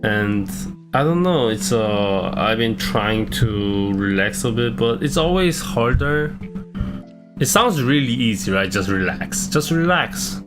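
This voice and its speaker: A young man with a non-native English accent and a low-pitched voice, talking about his streaming in a hesitant, self-deprecating way with many restarts. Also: lively intonation, takes it slowly. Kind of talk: casual reflective vlog. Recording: background guitar music.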